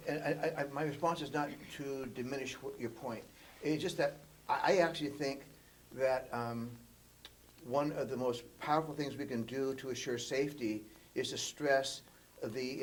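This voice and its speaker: A man, a board member, replying in a hesitant, stammering, soft way. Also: mid-range voice, low-quality recording. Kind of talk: school board discussion.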